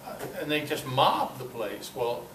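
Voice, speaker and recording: An older man giving an informal, halting talk to a club, with a self-deprecating, dryly humorous manner. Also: medium pitch, animated, a bit bright. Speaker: guest speaker at a club meeting. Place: club meeting room, indoors. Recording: roomy, distant mic, noisy amateur recording.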